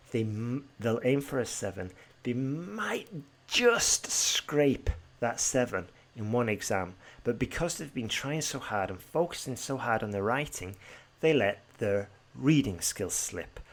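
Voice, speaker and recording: British guy teaching an exam prep podcast, lowish voice, brisk and direct, some ums. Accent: British English. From Britain. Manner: brisk, direct, with fillers and restarts. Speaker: exam preparation tutor. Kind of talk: podcast tutorial.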